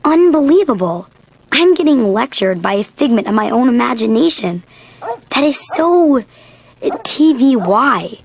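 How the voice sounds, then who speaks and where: A woman's voice: on the high side, big pitch swings, a little slow, slight background noise. A woman voicing a sardonic, grumbling small-town kid who narrates a cartoon. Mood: grumbling, exasperated. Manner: wry, grumbling. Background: background music.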